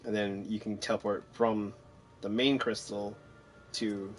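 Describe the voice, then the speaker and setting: A man's voice: lowish voice, little pitch movement, measured pace. Casual male gamer over background noise. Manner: wry, mildly sarcastic. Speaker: gamer narrating own playthrough. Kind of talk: casual gameplay commentary.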